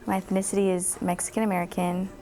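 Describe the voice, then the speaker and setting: A seventeen-year-old woman, a high school senior, answering interview questions in a matter-of-fact way. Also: little pitch movement, quick pace, warm tone, low-quality recording.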